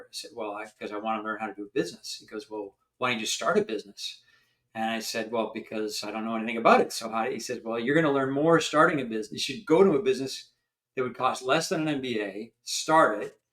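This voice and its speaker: An older man from Canada, a founder, is a guest on a podcast. His voice sits in the middle register, and he tells his stories in a chatty, animated way. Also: mid-range voice, poor audio. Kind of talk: storytelling in an interview.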